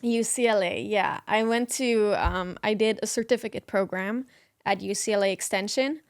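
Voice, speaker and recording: Smooth-voiced young Dutch-born woman. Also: mid-range voice, normal speed, dry recording, clean studio sound. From Netherlands. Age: young adult. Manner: relaxed, chatty, frequent ums and little laughs. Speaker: guest. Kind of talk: casual interview chat.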